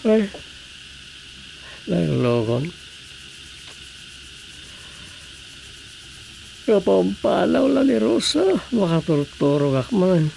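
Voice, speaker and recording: A musing comic radio drama character with an Ilocano accent. The voice creaks and takes its time, rambling through sighs and muttered interjections. Faint noise sits behind it. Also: mid-range voice, a little mellow, heavily acted, rough recording. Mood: drowsy grumbling. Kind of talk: radio drama comedy monologue.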